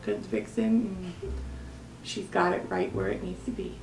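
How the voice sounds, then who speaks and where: A woman's voice: mid-range voice, fairly even intonation, unhurried, creaky, a little mellow. Sassy woman in a roomy recording. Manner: sassy, colloquial, mock-scolding. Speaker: performer in a dramatic poem reading. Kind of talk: poem recital with comic ad-libbed commentary. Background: jazzy Christmas music.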